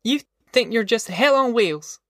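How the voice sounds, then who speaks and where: A reader voicing a sharp, indignant young country girl with rustic dialect in an audiobook story. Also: medium pitch, animated, moderate pace, no room sound, clean studio sound, pitched above the performer's own voice, natural voice. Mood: furious.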